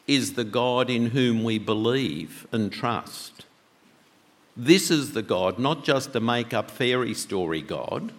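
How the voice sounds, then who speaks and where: A man preaching a sermon with a loud voice, talking conversationally and asking the congregation many questions. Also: on the low side. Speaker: pastor. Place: church. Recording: long church reverb.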